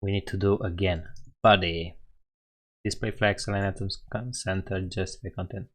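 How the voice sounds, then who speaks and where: A man's voice: low-pitched, fairly even intonation, moderate pace, fairly bright tone. A man with a Romanian accent hosting a live coding stream, thinking aloud and correcting himself as he types. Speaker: coding livestream host. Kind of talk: casual live coding narration.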